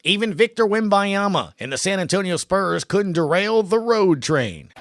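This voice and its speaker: A man's voice: high voice, animated, moderate pace, fairly bright tone. An American man hosting a sports podcast, recapping games in an upbeat, scripted presenting style. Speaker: podcast host.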